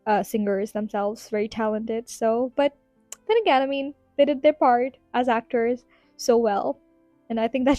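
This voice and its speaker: Casting: higher-voiced woman, rambling podcast host, giggly, voice tiring, dry close mic. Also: dark tone, no room sound. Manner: chatty, giggly, rambling with fillers. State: tired, strained voice. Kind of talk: casual solo podcast ramble.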